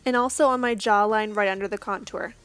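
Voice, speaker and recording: A young woman, hoarse from losing her voice, giving a casual step-by-step makeup tutorial voice-over. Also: medium pitch, steady tone, brisk, a bit bright, no room sound, soft background music. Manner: casual, chatty, reassuring.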